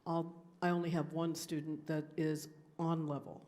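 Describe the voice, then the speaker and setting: A woman teacher asking the panel a question, earnest and measured. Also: low-pitched, flat delivery, a little mellow.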